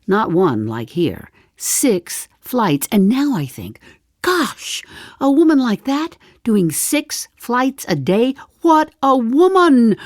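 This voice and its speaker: An actress in her seventies playing a darkly comic old woman in an audio drama monologue, confiding in a deliberate way with dry understatement. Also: a little high, no room sound. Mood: animated, reminiscing. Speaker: actor in a one-woman play.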